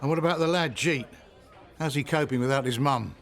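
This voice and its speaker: An actor playing a wry, grumbling village pub landlord in a radio soap. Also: male voice, medium pitch, a little fast, fairly bright tone, faint music behind, lightly acted. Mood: subdued, reflective. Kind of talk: radio soap opera acting.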